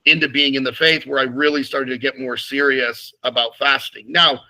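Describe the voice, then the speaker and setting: A man's voice: mid-range voice, fairly even intonation, moderate pace, bright, forceful tone, low-quality recording. A man, a priest being interviewed, talking frankly about his past with many restarts and fillers. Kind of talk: casual interview conversation.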